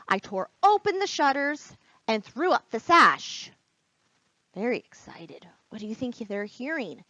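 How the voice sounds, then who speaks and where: A woman reading aloud at a children's storytime as a librarian, expressive and encouraging, speaking straight to young listeners. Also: fairly high pitch, no room sound. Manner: expressive, gasps and whispers for drama. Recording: dull, lo-fi sound.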